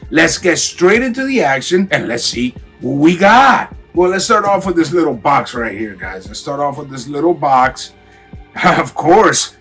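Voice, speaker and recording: A raspy-voiced man with a cold, from Miami, hyping up a casual clothing unboxing for his viewers. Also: on the high side, sing-song, a little slow, fairly bright tone, soft background music. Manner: hyped, slangy, talks straight to viewers. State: has a cold. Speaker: sneaker and clothing vlogger. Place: home room.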